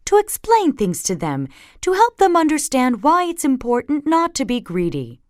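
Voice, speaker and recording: A woman voicing a prim, sensible mother bear in a storybook, measured and gently admonishing. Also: high voice, wildly swooping pitch, dry recording, clean studio sound, pitched above the performer's own voice. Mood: calm reasoning.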